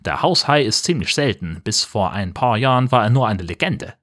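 A man's voice: fairly low pitch, very animated, normal speed, a bit bright. A man voicing a scrawny, unflappable, odd shark expert with a Saxon accent in a comic radio play. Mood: earnest.

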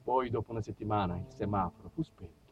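A man with a southern Italian accent performing an expressive, theatrical comic monologue as a storyteller. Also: lowish voice, fast talker. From southern Italy. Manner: expressive, acts out dialogue, shifts between hushed and shouted. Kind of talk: theatrical comic monologue about small-town life. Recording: dull, distant sound with music.

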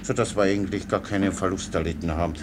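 Actor as a low-voiced, guileless soldier orderly. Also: male voice, fairly even intonation, quick pace, close to an everyday voice. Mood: innocently explaining. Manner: rambling, naively unruffled, deferential. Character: guileless, chatty, cheerfully foolish male soldier orderly. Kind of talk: German radio play acting. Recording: clear background noise.

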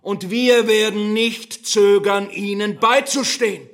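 An actor playing a pompous rural Nazi functionary in a radio play, giving a declamatory, unctuous speech with dramatic pauses. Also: male voice, high-pitched, fairly even intonation. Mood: unctuous, solemn.

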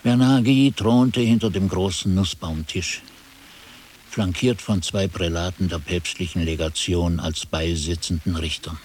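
An actor playing a monk chronicler, narrating his memories in a grave, measured way for a German radio drama. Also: sits low, steady tone, darkish tone, slight background noise.

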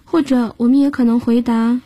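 High-voiced woman teacher with a breathy tone. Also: fairly even intonation, moderate pace, warm tone. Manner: patient, explanatory, guiding the listener step by step. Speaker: language teacher and host. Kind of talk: radio lesson explanation.

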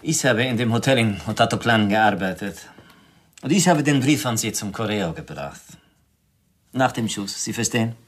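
Formal, guarded Mexican visitor. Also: male voice, on the low side, animated, normal speed, husky. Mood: courteous, matter-of-fact. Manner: formal, courteous. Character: polite, guarded Mexican male hotel employee, soft-spoken visitor. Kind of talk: radio play dialogue.

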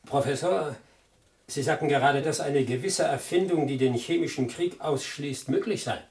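An actor playing a zealous Soviet squadron commander in a German radio drama, emphatic and politely insinuating. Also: male voice, a little high, normal speed, a little mellow, distant mic, natural voice. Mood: effusive, probing. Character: suave, menacing Soviet fighter squadron commander, zealous loyalist.

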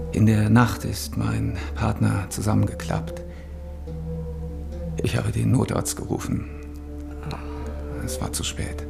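An uneasy art dealer in a radio drama. His voice is fairly deep with plenty of fry in it. He explains things informatively while music plays underneath. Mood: subdued, uneasy. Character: uneasy, explanatory male art gallery owner. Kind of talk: radio drama acting, measured dialogue.

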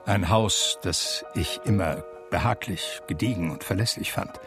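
Reflective blind gentleman, gravelly low-key voice. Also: music in the background. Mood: wry, reminiscing. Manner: measured, articulate, reflective, gently wry. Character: blind man from a cultured New York family, reminiscing wryly. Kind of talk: German radio drama first-person narration.

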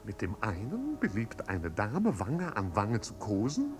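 An actor playing a fussy, loyal manservant in a 1950s radio play, speaking in a stilted, elaborately formal and deferential way. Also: male voice, fairly low pitch, wildly swooping pitch, measured pace. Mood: grave, formal.

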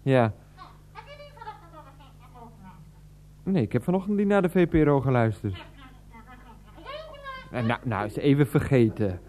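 A man's voice: mid-range voice, animated, normal speed, warm tone, natural voice. A man playing flustered son Freek. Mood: cheerful. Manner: stammering, exasperated, bursts into laughter. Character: flustered adult son Freek phoning his mother. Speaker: character in a radio drama. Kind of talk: 1990s radio play acting.

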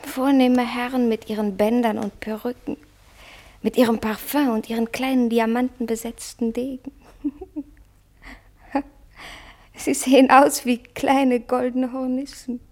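An actress in a German radio play as a restless, vain, stage-struck young wife, breathy and intense, often sinking towards a whisper. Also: female voice, on the high side, level tone, darkish tone, natural voice. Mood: excited, craving. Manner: dreamy, intense, drawn-out phrases.

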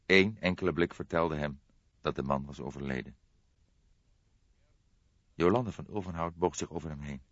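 A man's voice: extremely low pitch, moderate pace, long pauses. A man narrating a crime novel as an audiobook, reading scripted prose in a dramatic storytelling style. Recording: dull lo-fi audiobook, roomy echo.

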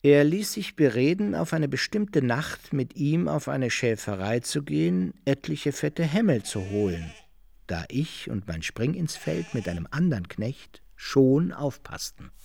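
Husky, creaky storytelling adventurer. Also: male voice, fairly high pitch, sing-song, unhurried, darkish tone, full character voice. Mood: recounting. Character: worldly adventurer recounting his own exploits. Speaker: first-person narrator. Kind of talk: literary radio play narration.

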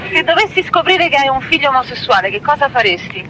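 Woman firing short questions, really high lively voice, noisy background like traffic. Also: fast talker. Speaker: daughter interviewing her father. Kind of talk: informal probing interview. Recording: loud traffic-like ambient noise.

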